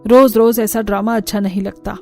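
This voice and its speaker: A woman's voice: mid-range voice, steady tone, brisk, gravelly, soft background music, close to an everyday voice. A woman voicing a scolding, selfish middle-aged mother-in-law in a cartoon. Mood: annoyed, scolding. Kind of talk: cartoon voice acting.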